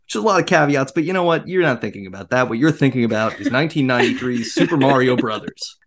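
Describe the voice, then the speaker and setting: A man's voice: mid-range voice, expressive, quick pace. A man hosting a casual film discussion podcast with a wry, playfully grandiose way of talking. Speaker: podcast host.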